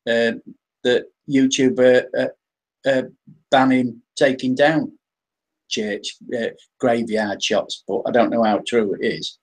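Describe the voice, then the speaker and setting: A man's voice: medium pitch, fairly even intonation, takes it slowly, poor audio. An English man talking casually as the guest on a live-stream interview, often restarting and repeating his words. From England.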